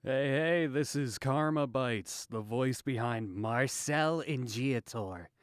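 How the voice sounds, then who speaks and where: Slow, clean-voiced man talking casually. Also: mid-range voice, clean tone, dry recording, studio quality. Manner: informal, appreciative. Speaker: voice actor. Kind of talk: casual thank-you message to viewers.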